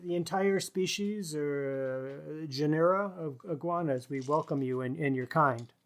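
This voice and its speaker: A man's voice: fairly high pitch, measured pace. A man co-hosting a podcast, speaking conversationally with a wry manner as he makes show announcements. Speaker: podcast co-host.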